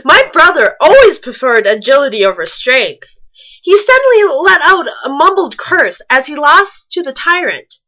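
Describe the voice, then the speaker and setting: A woman's voice: sits high, sing-song, moderate pace, pushed and bright, poor audio. A woman co-hosting a comedic fan fiction reading, reading aloud and breaking off for casual, mock-exasperated commentary.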